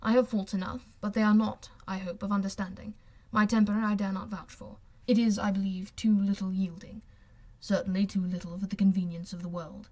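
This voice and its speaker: Woman as a proud, reserved gentleman. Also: medium pitch, level tone, lightly acted. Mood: proud, restrained. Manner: measured, formal, restrained. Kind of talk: audiobook character voice.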